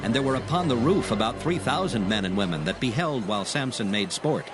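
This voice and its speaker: Expressive solemn male scripture narrator. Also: medium pitch, music in the background. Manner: solemn, measured storytelling.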